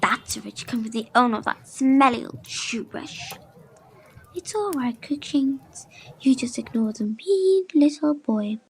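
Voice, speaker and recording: Bossy teenage witch played by a woman, high and animated, prim and scolding, for an audio play. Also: a little slow, slight background noise. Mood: scornful, soothing. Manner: haughty, scolding. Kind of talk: audio drama acting.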